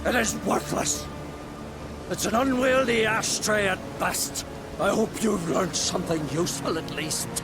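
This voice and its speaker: An actor playing a pompous, indignant older druid scholar in a video game, with a theatrical, scolding manner. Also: male voice, high-pitched, unhurried, vocal fry. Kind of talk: video game cutscene acting. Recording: music and effects behind.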